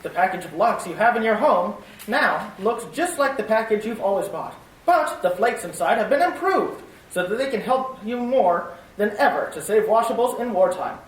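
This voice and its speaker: A woman's voice: medium pitch, expressive, normal speed, recorded from a distance, close to an everyday voice. A woman playing an upbeat 1940s radio announcer reading a soap commercial. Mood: salesy. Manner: upbeat, salesy. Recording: echoey room, voice off-mic.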